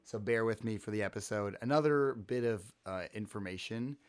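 A man's voice: lowish voice, brisk, smooth. A man with a scratchy throat, hosting a casual sports podcast and rambling with many restarts. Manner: rambling, self-interrupting. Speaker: podcast host.

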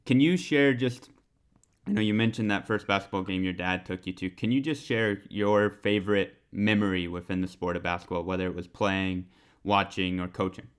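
Male podcast co-host, very low resonant voice, friendly with a few restarts. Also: steady tone, normal speed, clean tone. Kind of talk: casual podcast interview.

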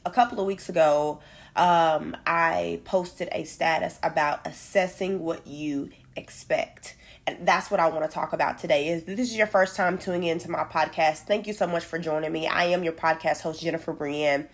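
A woman's voice: sits low, steady tone, normal speed. A woman hosting a faith-based podcast, upbeat and emphatic, a bit congested and nasal. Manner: upbeat, emphatic, repeats for stress. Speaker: podcast host.

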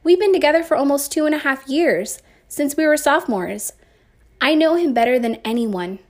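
Woman, slightly high voice, story narration, emphatic and deliberate phrasing, teacher reading aloud. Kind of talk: expressive first-person story narration.

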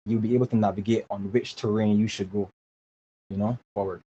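A man's voice: fairly low pitch, fairly even intonation, a little fast, mellow, breathy edge. A man, speaking earnestly as an interview guest and often repeating words while he finds his phrasing. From Barbados. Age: 20s. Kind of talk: casual interview talk. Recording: dull lo-fi remote audio with faint hiss.

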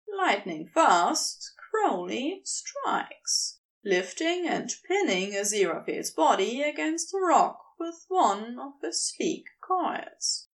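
Woman narrating a story, high voice, really animated pitch, reads expressively and deliberately. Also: recorded from a distance. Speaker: podfic narrator.

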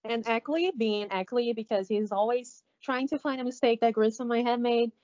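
A woman with a Brazilian Portuguese accent, co-hosting a podcast in a halting, casual way, with many fillers and restarts. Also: fairly high pitch, fairly even intonation, darkish tone. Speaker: podcast co-host. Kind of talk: casual recap chat. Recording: slightly dull lo-fi audio.

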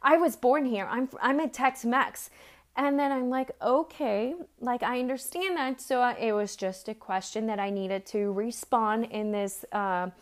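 Earnest woman giving a personal sermon. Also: a little high. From Mexico. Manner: earnest, conversational, with frequent fillers and restarts. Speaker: church speaker.

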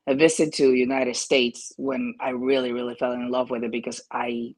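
A man from Peru with a Peruvian Spanish accent, giving casual interview answers as a jockey, haltingly searching for phrasing. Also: mid-range voice, level tone.

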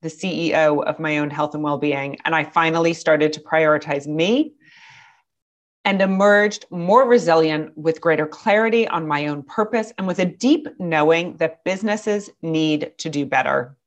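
A woman hosts a podcast, her voice a little raspy and set fairly low. She talks in a calm, measured way as she welcomes her guest and asks about her journey. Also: highs cut off. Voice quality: slightly raspy. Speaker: podcast host. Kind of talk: scripted intro then interview.

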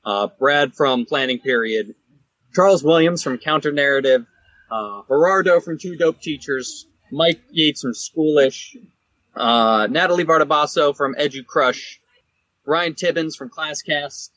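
A man's voice: a little high, measured pace, a bit bright, dry recording, dull audio. A man co-hosting a podcast, talking in casual, unscripted chat. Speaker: podcast co-host.

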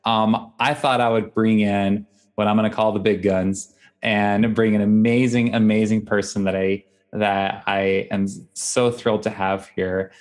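A man's voice: on the low side, flat delivery, measured pace. A man hosting a podcast, introducing his guest with effusive enthusiasm. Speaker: podcast host. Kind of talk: podcast interview.

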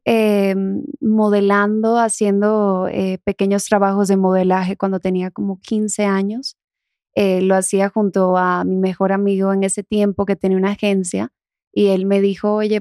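A young adult woman from the Dominican Republic with a softened Dominican accent, talking casually as an interview guest. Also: mid-range voice, little pitch movement, moderate pace, dry recording, studio quality. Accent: Dominican, softened toward neutral Spanish.

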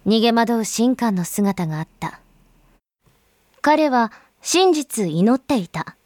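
A woman's voice: a little high, big pitch swings, slow, a little mellow, no room sound, clean studio sound. A woman performing as a grave storyteller, giving scripted dramatic narration in solemn, literary phrasing. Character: grave storyteller recounting a bloody uprising.

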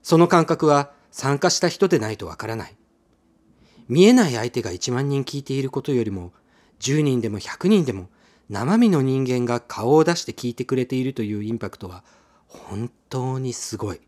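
A man's voice: medium pitch, lively intonation, normal speed, a little mellow. A man narrating a radio drama in the first person, telling it expressively as a scripted story.

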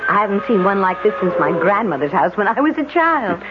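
An actress playing an enthusiastic but uneasy city wife and mother, expressive, in a 1970s radio drama. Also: mid-range voice, brisk, natural voice. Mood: delighted. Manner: expressive, exclamatory. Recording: noisy, thin, narrow-band 1970s radio broadcast.

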